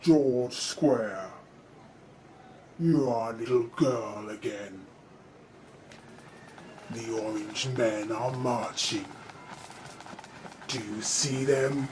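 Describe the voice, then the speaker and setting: A man's voice: mid-range voice, extremely slow, long pauses, music in the background, rough recording. A man playing a menacing, imperious ancient spirit of a cursed drum in an audio drama. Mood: hypnotic, coaxing.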